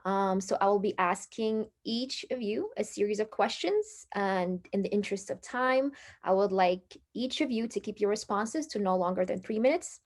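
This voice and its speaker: A woman's voice: medium pitch, normal speed, a little mellow. A young woman from Morocco speaking as a panel moderator, introducing a session in a formal, organized style.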